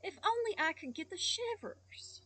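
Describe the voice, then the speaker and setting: A simple, fearless young boy from a storybook, as a woman reading aloud puts him on. He talks earnestly and openly, his voice set high and lively. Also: moderate pace, exaggerated voice. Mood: eager.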